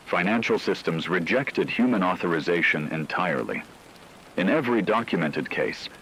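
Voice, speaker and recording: A man playing a solemn radio news reporter, delivering a scripted crisis bulletin with husky, deliberate gravity. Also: deep voice, a bit bright, slight background noise, heavily acted, low-quality recording. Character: grave male radio newsman reporting a worldwide crisis.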